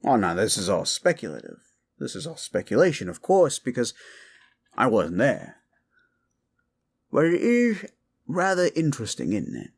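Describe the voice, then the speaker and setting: A man's voice: mid-range voice, wildly swooping pitch, normal speed, long pauses. A man playing a folksy, rumpled older homicide detective in a roleplay audio, rambling absent-mindedly and probing politely. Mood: musing, speculative. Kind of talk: roleplay ASMR audio drama.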